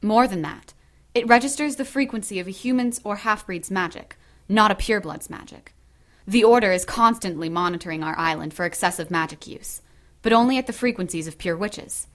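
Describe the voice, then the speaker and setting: Composed, regal witch high priestess, an actress. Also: close to an everyday voice. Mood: guarded calm. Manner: formal, measured, authoritative. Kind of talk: audio drama acting.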